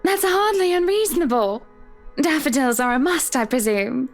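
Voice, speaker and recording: Polite rich wife, high voice, measured, soft music behind. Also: female voice, animated. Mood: dry. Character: poised wealthy wife, polite and composed.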